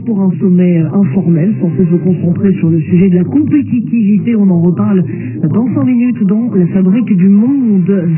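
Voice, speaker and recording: A man presenting a live morning radio news bulletin, reading out headlines over shortwave radio. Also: high voice. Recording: tinny narrow-band shortwave radio, music behind.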